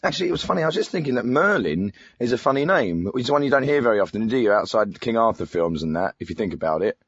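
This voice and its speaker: A man's voice: medium pitch, sing-song, fast, breathy edge, no echo. A man playing a breezy, clueless phone-in host, who interrupts in a glib way. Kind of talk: spoof radio phone-in presenting.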